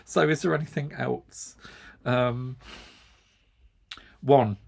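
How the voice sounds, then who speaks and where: A man's voice: medium pitch, steady tone, slow pace, creaky, darkish tone. A middle-aged English man with an English accent, hosting a knitting channel and chatting wryly to camera with frequent chuckles. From England. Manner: chatty, wry, chuckles often. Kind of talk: casual chat to camera.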